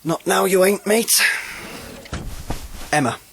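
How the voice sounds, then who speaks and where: A woman with a British accent playing a brusque, sly, deadpan guardian in a comic audio drama. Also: medium pitch, takes it slowly, gravelly, background noise. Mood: gruff, cynical. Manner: deadpan, blunt, mock-polite.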